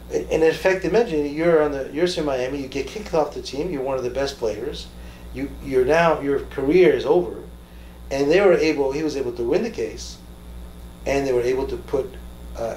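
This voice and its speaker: Halting man in a roomy, echoey space. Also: medium pitch, a little mellow. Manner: halting, repeats words while searching for phrases. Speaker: talk show host interviewing a guest. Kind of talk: conversational interview. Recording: roomy echo with faint background noise.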